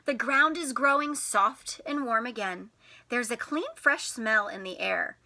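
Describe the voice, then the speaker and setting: A woman teacher reading a picture book to young children in an expressive, sing-song way, putting questions to them. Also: on the high side, unhurried. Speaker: teacher and storytime host.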